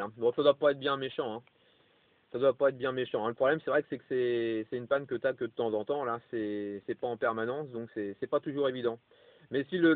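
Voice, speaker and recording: A man's voice: mid-range voice, no room sound. A man chatting casually as a radio amateur, rambling and tacking little tags onto his phrases, over a CB radio. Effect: CB radio transmission. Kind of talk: casual two-way radio chat. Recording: narrow, tinny two-way radio audio.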